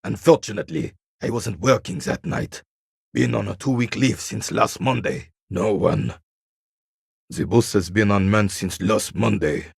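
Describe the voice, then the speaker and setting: An actor playing a proud, bitter old royalist soldier in a video game, stern and disdainful, with a gravelly, raspy voice. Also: male voice, on the low side, unhurried, no room sound, studio quality, full character voice. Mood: guarded. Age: older. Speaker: retired carabinier working as a guard. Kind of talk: video game character dialogue.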